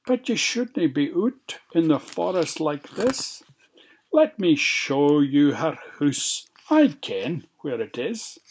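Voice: medium pitch, very animated, slow pace, slight background noise. A storyteller of unclear sex voicing a sly, coaxing male wolf from a storybook in a Scottish accent. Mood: wheedling, friendly. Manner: coaxing, exaggeratedly friendly. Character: sly wheedling male wolf, falsely sweet and predatory. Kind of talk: storybook character voice.

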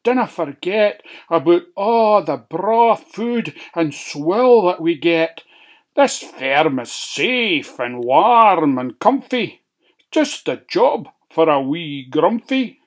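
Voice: mid-range voice, sing-song, takes it slowly. A reader with a Scottish accent voicing a cautious, homebody male pig in a storybook, speaking in a fretful, warning way.

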